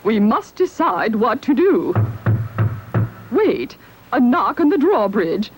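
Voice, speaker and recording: An actress playing a grand, doting duchess in a children's puppet show, with a gushing, genteel, theatrical delivery. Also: female voice, on the high side, very animated, slow pace, music in the background. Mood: gracious, flustered.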